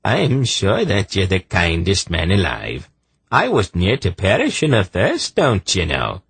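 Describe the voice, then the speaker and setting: A man's voice: sits low, huge pitch swings, unhurried, vocal fry, fairly bright tone, slight background noise, exaggerated voice. Man doing a lilting-brogue juggler, Feldegast. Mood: wheedling. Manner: flowery, ingratiating, boastful. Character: gaudy little male juggler, wheedling trickster.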